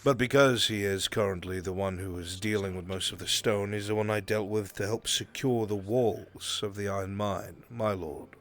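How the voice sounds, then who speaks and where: Man playing a low-voiced, formal fantasy military lord. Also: steady tone, faint music behind, lightly acted. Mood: deferential, firm. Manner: formal, measured, courtly deference. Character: proud, dutiful military lord reporting to barons. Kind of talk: improvised fantasy roleplay.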